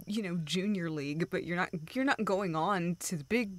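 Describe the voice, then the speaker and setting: A woman playing a dejected, self-doubting young woman who confides haltingly in a friend, in an intimate, naturalistic audio roleplay. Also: lowish voice, lightly acted. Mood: resigned, self-deprecating. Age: young adult. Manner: halting, hesitant, self-deprecating.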